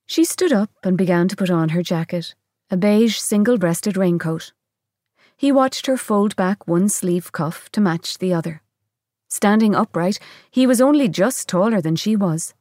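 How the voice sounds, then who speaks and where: A woman's voice: medium pitch, unhurried, a little mellow, no room sound. Woman narrating a novel, dry close sound. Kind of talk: scripted literary narration, novel reading.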